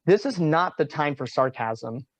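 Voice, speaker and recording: A man reading a quiet, practical young manager in a virtual staged reading, with a dry, exasperated delivery. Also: a little high, lively intonation, unhurried, no room sound. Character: quiet, practical male manager in his twenties. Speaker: also reads stage directions. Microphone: video call.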